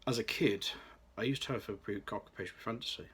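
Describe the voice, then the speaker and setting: Low-voiced young man, bright tone. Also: fairly quick, husky. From Birmingham, England. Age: late 20s. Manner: careful, read-aloud delivery with occasional restarts. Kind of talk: scripted personal vlog.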